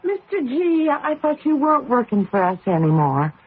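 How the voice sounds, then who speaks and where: An actress playing an affectionate, shaken woman race driver in a radio mystery drama, earnest and halting. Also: high voice, big pitch swings, slightly rough, full character voice. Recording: noisy narrow-band old radio recording.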